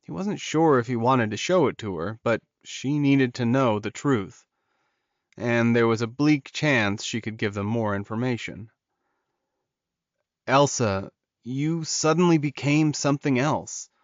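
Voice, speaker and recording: A man narrates a fantasy romance audiobook at a medium pitch. The recording is dry and close, with a slightly dull top end. He reads scripted prose as a storyteller. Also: a little slow, no room sound.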